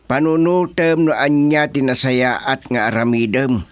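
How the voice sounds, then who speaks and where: A man playing a steady friend who gives advice in a radio drama, deliberate and mildly exasperated. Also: medium pitch, no echo, natural voice.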